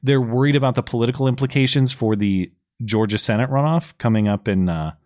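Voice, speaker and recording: A slightly hoarse man co-hosting a podcast, recapping political news in a casual, explanatory way with plenty of fillers. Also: medium pitch, brisk, a little mellow. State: slightly hoarse, losing his voice. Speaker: podcast co-host.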